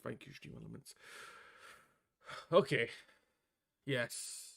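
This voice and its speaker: A man's voice: mid-range voice, slow, creaky, fairly bright tone. A man chatting casually on a livestream as he plays a game and talks to viewers. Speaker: game streamer. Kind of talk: casual livestream chat while playing.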